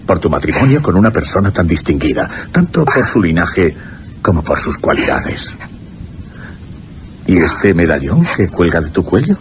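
An actor playing an unstable, tormented young gentleman in a radio horror drama, theatrical and halting. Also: low voice, very animated, a little hiss or hum. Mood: fragile, tender joy.

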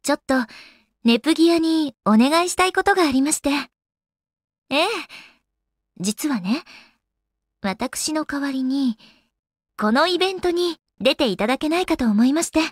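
Elegant, high-pitched goddess lady. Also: lively intonation, normal speed, long pauses, no room sound, clean studio sound. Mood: polite, composed. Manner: polite, haughty ojou-sama refinement with playful coyness. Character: elegant, teasing young goddess, a refined lady gamer. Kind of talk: Japanese video game character voice acting.

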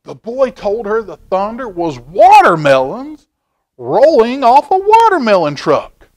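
A middle-aged man reading a picture book aloud to children in a folksy, expressive way, with chatty asides. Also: extremely high pitch, a little slow. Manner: folksy, expressive, asking the listener questions. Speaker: library storytime reader. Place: indoor library children's room.

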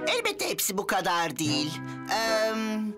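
A woman's voice: medium pitch, huge pitch swings, measured pace, over music. A woman voicing a mischievous, whiny young boy in a children's cartoon, dramatic and hesitant. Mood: sheepish. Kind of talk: animated children's cartoon dub.

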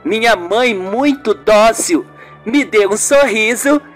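A woman's voice: fairly high pitch, slow, soft background music. A woman voicing an emotional young niece with dramatic pleading in a radio soap. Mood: wistful, earnest. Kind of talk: amateur radio soap acting.

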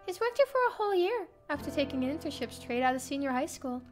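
A young woman streamer reading a visual novel aloud as expressive first-person story narration. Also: high-pitched, fast. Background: game music.

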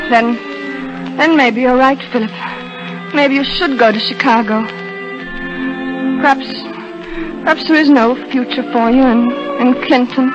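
An actress playing a demure, hesitant young small-town sweetheart in a melodramatic 1930s radio drama. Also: female voice, high voice, a little slow, long pauses. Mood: hurt. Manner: coy, proper, melodramatic. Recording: dull vintage 1930s radio broadcast with music.

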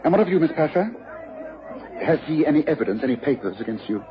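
An actor playing a commanding, incisive gentleman detective with a British accent in a radio drama. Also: on the high side, very animated, fast. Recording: dull, noisy radio broadcast, crowd murmur.

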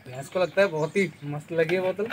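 A young man giving excited, repetitive casual vlog commentary to his viewers outdoors. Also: fairly high pitch, brisk. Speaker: vlogger. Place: open dirt farmyard, outdoors. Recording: noisy outdoor yard, birds and animals.

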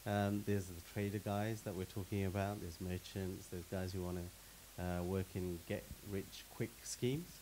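A middle-aged man speaks in a low voice into a handheld mic on stage before an audience. He answers at length, piling up examples and repeating words as he finds his footing. A faint hiss runs under the sound. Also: steady tone, measured pace, hardly any pauses, warm tone, low-quality recording. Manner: expansive, repeats words when starting, gives lists of examples. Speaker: panelist. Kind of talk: panel discussion answer. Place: stage in a meeting hall with audience.

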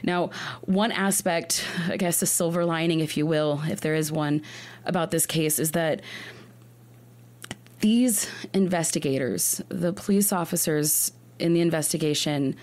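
Low-voiced woman telling a true crime story. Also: unhurried, a little mellow. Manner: earnest, with frequent restarts. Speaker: podcast host.

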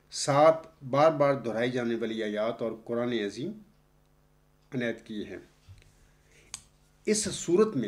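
Older guy giving a friday sermon, really slow, drags out the verses. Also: mid-range voice, a little mellow. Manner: measured, drawn-out chanting of Arabic verses between plain explanation. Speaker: preacher. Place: indoors, seated before a backdrop screen. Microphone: close studio mic in front of the face.

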